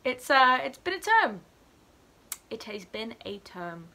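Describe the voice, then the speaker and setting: A woman's voice: on the high side, very animated, takes it slowly, bright, forceful tone. A young adult woman chatting casually to camera as a student vlogger in a living room. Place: furnished living room indoors.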